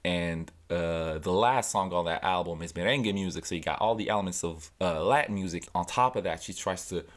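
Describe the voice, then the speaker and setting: A man's voice: low voice, fairly quick, few pauses. A young man from Tunisia, a native Arabic speaker, chatting casually about music he recommends, with loose fillers and restarts. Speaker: music vlogger.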